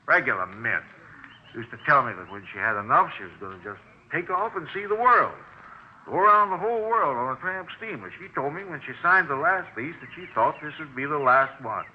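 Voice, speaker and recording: An old, dull radio recording with a faint noise bed carries a gruff, surly landlord played by a man. His pitch moves around a lot as he stonewalls police, defensive and evasive in a folksy, ungrammatical way. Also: expressive, brisk, lightly acted. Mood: suspicious, impatient. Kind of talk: 1950s radio acting.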